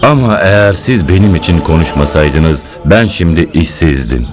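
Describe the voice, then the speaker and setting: A man's voice: low-pitched, animated, moderate pace. An actor playing a humble, pious and grateful working man in a religious cartoon, earnest and respectful. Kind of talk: dubbed religious cartoon acting. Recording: music behind the voice.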